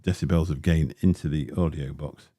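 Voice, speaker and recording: A man's voice: steady tone, moderate pace, mellow, no echo, studio quality. A man, hosting a casual livestream and rambling through chatty asides with lots of fillers. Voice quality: deep voice. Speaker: livestream host. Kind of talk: casual livestream chat while unboxing gear. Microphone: dynamic studio mic close up.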